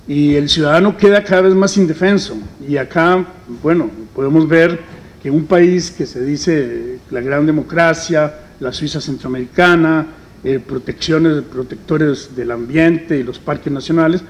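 A middle-aged man speaking on a forum panel in a conference hall, halting often with fillers. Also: fairly high pitch. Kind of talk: public forum talk.

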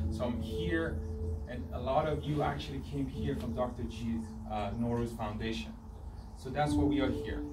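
Earnest halting man speaking from afar. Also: mid-range voice. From Sweden. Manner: halting, earnest, restarts phrases often. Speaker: artist giving a presentation. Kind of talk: live talk to an audience. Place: event space with an audience. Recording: roomy sound from a distance, loud music behind.

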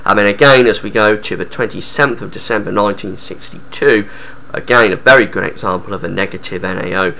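A young man with a croaky, gravelly voice and a sore throat talks through weather charts. His tone is low but bright, and he stops often on halting fillers. He is recorded on a webcam in a small home room with faint background hiss. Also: low-quality recording. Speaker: weather forecaster.

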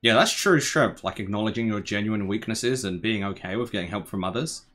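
A young man giving casual, slangy reaction commentary, musing aloud in a laid-back, tired, low-energy way. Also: fairly quick, a bit bright. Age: young adult. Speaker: anime reaction host. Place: small bedroom, indoors.

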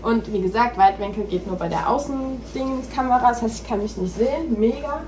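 A woman's voice: a little high, a little fast, darkish tone. Young woman vlogging in her kitchen, chatty and rambly, phone mic, pretty noisy. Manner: chatty, rambling, many fillers. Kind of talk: casual chat to camera. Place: home kitchen and a garage. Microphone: phone camera built-in mic.

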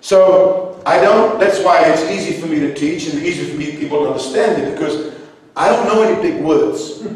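A middle-aged man preaching with fervor, his high voice swinging from quiet talk to ringing shouts. He is from New Zealand and grew up in Iceland. The sound comes from far off in a big, echoey church hall with a long tail of reverb. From New Zealand, raised in Iceland. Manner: impassioned preaching, rising to shouts. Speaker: pastor. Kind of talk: sermon. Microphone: handheld mic, heard from a distance in the room.

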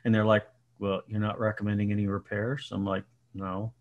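A man's voice: on the low side, level tone, moderate pace, highs cut off. A man in his sixties from Colorado with a nasal voice, a guest telling self-mocking stories on a video call from his home. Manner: self-mocking storyteller, laughs often. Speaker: podcast guest, business owner. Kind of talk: casual video call chat. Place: home room indoors. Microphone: headset, video call.

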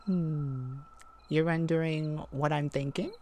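A woman playing an excitable, affectionate mage sweetheart in a romantic ASMR roleplay, with a gushing, easily flustered, rambling manner. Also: low voice, slow. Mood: flattered, curious.

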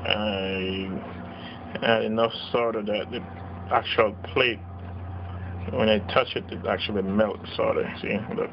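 A man slowly walking through a hands-on circuit board repair at his workbench, explaining each step plainly. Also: lowish voice, steady tone. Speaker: radio repair technician. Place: workbench, indoors. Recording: dull lo-fi camera audio with noise.

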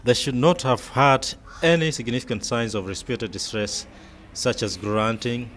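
A man narrating a scripted medical training film in a measured, step-by-step way. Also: mid-range voice, fairly bright tone. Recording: faint background noise.

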